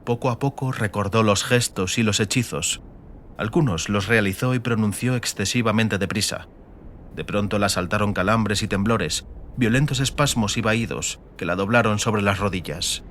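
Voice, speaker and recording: A man's voice: fairly low pitch, normal speed, a bit bright, slight background noise. A man reading scripted, dramatic fantasy narration as an audiobook narrator.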